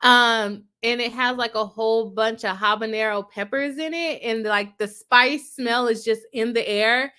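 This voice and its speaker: A woman with a high, bright voice and a stuffy, congested nose, chatting casually as she hosts a live stream. She is an attorney who laughs often between remarks. Her tone is loose and conversational. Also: unhurried, hardly any pauses, smooth. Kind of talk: casual live-stream chat, reading viewer comments.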